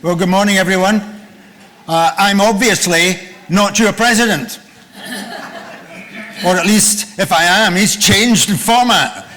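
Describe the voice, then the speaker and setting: An older man with a loud voice, chairing a conference session and formally introducing a guest speaker after a joking opening. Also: sits high, unhurried, long pauses. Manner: jokey, then reads out a guest's biography. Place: conference room.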